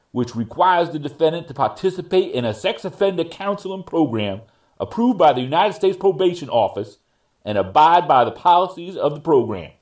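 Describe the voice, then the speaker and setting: A man reading the part of a judge in a courtroom readers theater piece, with formal, deliberate and measured legal phrasing. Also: fairly high pitch, a little mellow. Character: male judge ruling at a probation revocation hearing.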